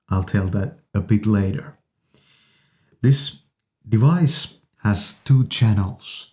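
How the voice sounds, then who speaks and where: A man presenting an informal product demonstration in halting, piecemeal phrases. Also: mid-range voice, gravelly, mellow. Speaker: presenter reviewing an audio interface.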